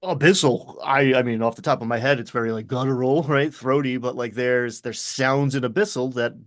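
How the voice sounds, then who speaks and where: A man talking casually as a game master, telling stories in an animated way and acting out little asides. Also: medium pitch, fairly quick. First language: English. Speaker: game master discussing his tabletop games. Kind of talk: casual conversational chat.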